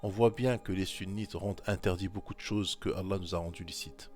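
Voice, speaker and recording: A man with a fairly low voice talks through scripture verses in a loose, informal way. He keeps coming back to the same points and presses them on the listener, talking straight to them. Faint music plays quietly underneath. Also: fairly even intonation, brisk. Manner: insistent, repetitive exhortation. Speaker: religious commentator.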